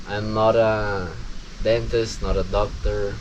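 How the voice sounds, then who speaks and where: A young Brazilian man in his twenties talks slowly to camera, with a Brazilian Portuguese accent and a halting way of speaking. Steady background hiss sits under his voice. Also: lowish voice, muffled recording. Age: 20s. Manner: halting, with many restarts and pauses. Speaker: vlogger. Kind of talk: casual talk to camera. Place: countryside home.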